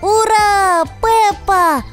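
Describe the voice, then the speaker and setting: Woman as a very slow, squeaky toddler piglet boy. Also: fairly bright tone, faint music behind, exaggerated voice. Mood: excited. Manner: babyish, exclaiming. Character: excitable little piglet brother, playful, whiny toddler boy. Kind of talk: children's toy-play cartoon dubbing.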